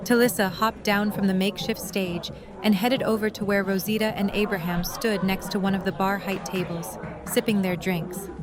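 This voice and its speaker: A woman's voice: mid-range voice, steady tone, moderate pace. A woman reading scripted story narration as the narrator of an audio drama. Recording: music and crowd chatter behind.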